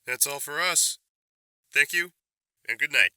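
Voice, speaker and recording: An actor playing a formal, poised male television talk show host presenting in measured phrases. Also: a little high, pushed and bright, no room sound, clean studio sound.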